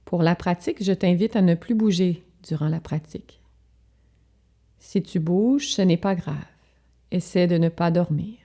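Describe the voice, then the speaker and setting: A woman leading a guided relaxation as a yoga instructor, speaking calmly and soothingly in short, measured phrases with pauses. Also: fairly low pitch.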